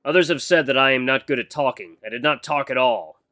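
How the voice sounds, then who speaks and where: A man's voice: mid-range voice, fairly quick, bright, forceful tone, lightly acted. A man voicing a stern, socially awkward beast-man mentor who speaks in a stiff, formal, blunt way. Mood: defensive.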